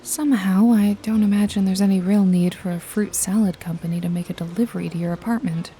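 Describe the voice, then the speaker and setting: A woman's voice: mid-range voice, fairly even intonation, fast, dark tone, natural voice. A woman playing a wry, jaded detective and hired killer in a noir ASMR roleplay, nonchalant and teasing with a casually menacing edge. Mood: watchful, matter-of-fact. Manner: sardonic, foul-mouthed, nonchalant, teasing. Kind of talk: noir ASMR roleplay, intimate one-sided dialogue to listener. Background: jazzy music and rain effects.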